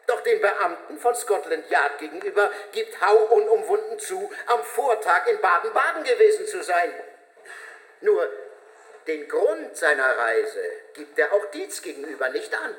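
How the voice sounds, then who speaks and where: Casting: male narrator, slightly high voice, factual scripted delivery for radio drama, very roomy reverberant sound. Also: sing-song, moderate pace, fairly bright tone. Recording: echoing room with long reverb.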